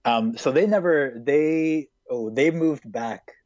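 A man's voice: a little high, takes it slowly, darkish tone, no room sound, highs cut off. A man in his twenties who grew up in Hong Kong, answering interview questions in a casual, hesitant way with many fillers. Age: 20s.

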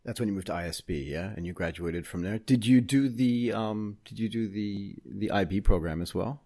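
Deep low guy hosting a podcast, chatty, long winding questions. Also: moderate pace, dark tone. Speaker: podcast host. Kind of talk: interview podcast.

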